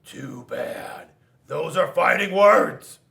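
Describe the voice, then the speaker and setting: A man's voice: fairly high pitch, lively intonation, extremely slow, creaky, pushed and bright, roomy sound, voice pushed up, exaggerated voice. A man voicing a boastful storybook rock warrior who declaims pompously and is eager to fight. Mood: combative.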